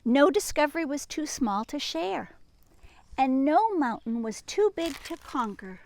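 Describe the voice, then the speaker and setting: Female, higher-pitched, unhurried, sing-song, expressive, children's read-aloud teacher, speaks directly to kids, hushed asides. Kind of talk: animated children's picture-book read-aloud and gentle chat to children.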